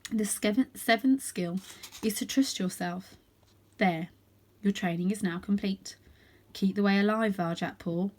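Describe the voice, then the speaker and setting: A woman voicing a wise old male ancestor cat from a storybook, a solemn mentor who speaks in measured, instructive phrases. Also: medium pitch, a little mellow, close to an everyday voice.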